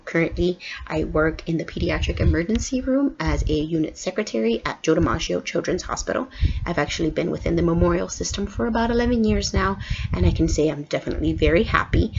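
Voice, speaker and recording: A woman's voice: low-pitched, vocal fry. A young woman from Guatemala introducing herself as a student in a casual video, earnest and full of ums and restarts. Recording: dull lo-fi recording with background noise.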